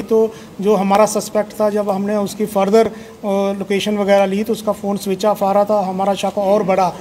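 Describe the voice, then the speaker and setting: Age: middle-aged. Sex: man. Role: police officer. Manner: measured, hesitant, formal. Pitch: high, flat. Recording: press mics, faint noise. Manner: measured, hesitant with frequent fillers, formal appeal. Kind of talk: press conference statement. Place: indoor police station room. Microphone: table of press microphones.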